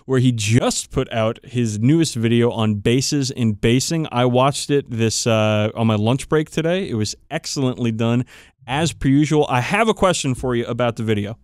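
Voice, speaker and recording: Guy cohosting a podcast, clear voice, dry close sound, casual and teasing, swears a bit. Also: medium pitch, normal speed, darkish tone, dry recording. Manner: profane, teasing. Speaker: podcast co-host. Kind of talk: casual, bantering podcast chat.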